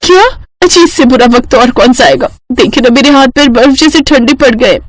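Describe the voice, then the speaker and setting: A woman voicing an excitable, whiny, naive young bride in a cartoon story. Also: high-pitched, brisk, no echo, poor audio. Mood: cold, complaining. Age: young adult.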